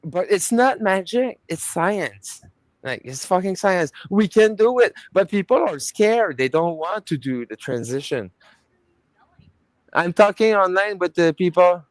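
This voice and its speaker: Excitable rambling man, dry sound. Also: high-pitched, dry recording, low-quality recording. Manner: excitable, rambling, halting restarts. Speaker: call participant. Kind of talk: casual group chat. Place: at home.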